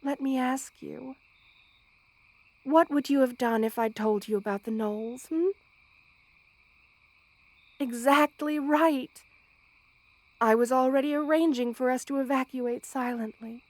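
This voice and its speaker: High-voiced, motherly spider queen played by a woman. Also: no echo. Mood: earnest, explaining. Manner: formal, measured, tender, soothing phrasing. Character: motherly, gentle two-hundred-year-old spider queen monster woman. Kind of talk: ASMR roleplay, intimate scripted monologue to listener.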